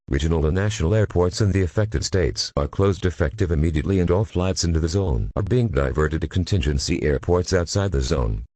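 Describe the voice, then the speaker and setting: A man voicing a grave emergency alert announcer, reading a formal doomsday bulletin in a flat official way. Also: extremely low pitch, husky, dry recording, full character voice.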